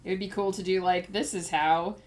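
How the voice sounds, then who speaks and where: A woman's voice: mid-range voice, steady tone, fairly quick, darkish tone, soft background music. A woman author chatting casually on a livestream, thinking aloud and rambling with lots of fillers, a little sniffly. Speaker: author streaming her writing process.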